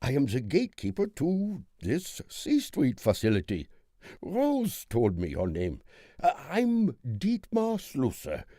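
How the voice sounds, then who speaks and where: A man's voice: medium pitch, wildly swooping pitch, takes it slowly, dark tone, no room sound, studio quality, pitched above the performer's own voice, full character voice. A man voicing an eager, welcoming male architect who guards a secret facility, in an audiobook. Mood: eager welcome. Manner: welcoming, reassuring. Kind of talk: audiobook character voice.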